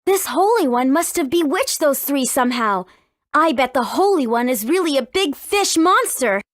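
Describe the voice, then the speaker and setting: High-pitched spirited teenage heroine. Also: female voice, lively intonation, dry recording, clean studio sound. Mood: confident. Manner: brisk, questioning, confident. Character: spirited teenage heroine, impatient and sure of herself. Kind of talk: video game voice acting.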